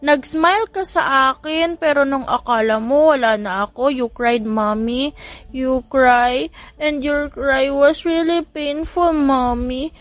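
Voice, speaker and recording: A woman's voice: high-pitched, slow, no echo, voice pushed up. A woman voicing a sweet, wistful little boy who speaks in a childlike, polite, pleading way. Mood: wistful, hurt.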